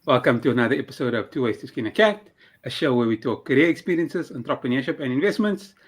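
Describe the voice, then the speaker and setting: A young man hosting an interview podcast, running through an upbeat intro and then asking questions in a relaxed way. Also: medium pitch, fast, slightly rough. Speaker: podcast host.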